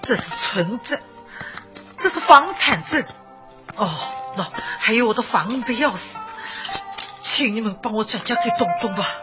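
A woman's voice: on the high side, lively intonation, moderate pace, lightly acted. An actress in a radio drama playing a grief-stricken elderly grandmother, tearful and pleading. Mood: despairing. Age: older. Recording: dull lo-fi, music behind.

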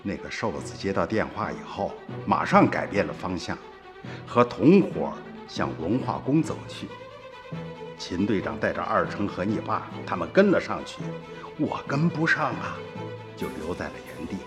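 A man playing an earnest older countryman who tells his family a tale in a folksy, animated radio drama narration. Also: low voice, normal speed, music in the background. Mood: reminiscing.

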